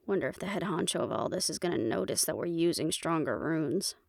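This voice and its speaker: A woman's voice: deep voice, expressive, fairly quick, gravelly, dry recording, voice pushed down, exaggerated voice. A woman voicing a skeptical, cool-headed female companion with a dry, questioning manner in a scripted fantasy audio roleplay. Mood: probing.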